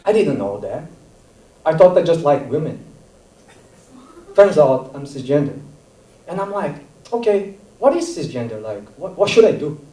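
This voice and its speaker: Halting lively-voiced male stand-up comic. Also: fairly high pitch. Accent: non-native English. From Brazil. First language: not English. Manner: self-deprecating, halting, laughs at own jokes. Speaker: stand-up comedian. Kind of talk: stand-up comedy set. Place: comedy theater stage. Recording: roomy live theater, mic at a distance.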